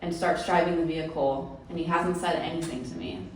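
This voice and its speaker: A woman's voice: low-pitched, sing-song, brisk, a little mellow. A woman telling a personal story to a live audience in a casual, self-mocking way. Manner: casual, conversational, laughing at herself. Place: live event hall. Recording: very echoey room, mic from far off, faint noise.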